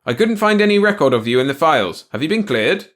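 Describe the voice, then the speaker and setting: A man playing a suspicious male security officer who shouts and snaps in a clipped, urgent way in a story. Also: on the high side, big pitch swings, fast, voice pushed up. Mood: urgent shouting.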